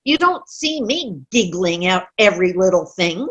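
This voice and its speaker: A woman's voice: fairly low pitch, very animated, measured pace, a bit bright, husky, highs cut off, full character voice. A woman playing a cranky, stubborn elderly widow in an amateur comedy play reading, grumbling bluntly and dismissively. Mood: grumpy. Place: online video-call play reading.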